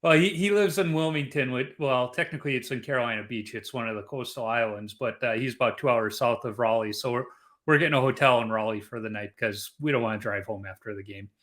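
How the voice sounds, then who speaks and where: Man, middle-aged, from chicago, podcast host, chatty and rambling, bright forceful voice. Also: medium pitch, fast talker. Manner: chatty, rambling with restarts and fillers. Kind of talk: casual podcast chat.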